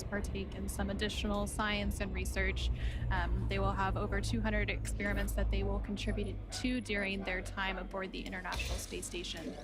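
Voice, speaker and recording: A woman giving live broadcast commentary as a launch presenter. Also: medium pitch.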